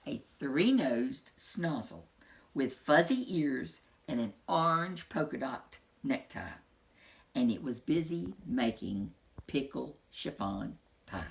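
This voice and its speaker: A woman storyteller reading a children's picture book aloud with expressive, dramatic phrasing. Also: extremely low pitch, slow pace, gravelly.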